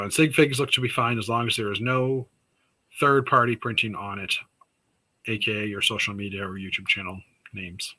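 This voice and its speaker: A man with a raspy sore throat from Canada, hosting a casual livestream chat and rambling through news with many fillers. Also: lowish voice, bright, forceful tone, poor audio. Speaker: host of a weekly livestream chat.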